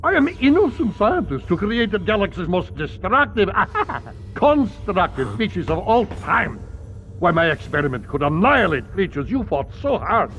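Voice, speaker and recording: High, swooping mad alien scientist. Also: male voice, sing-song, normal speed, fairly bright tone, muffled recording, a little hiss or hum, full character voice. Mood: boastful, indignant. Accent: mock Russian. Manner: theatrical, grandiose, broken grammar. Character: eccentric boastful alien mad scientist, adult male. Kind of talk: animated video game voice acting.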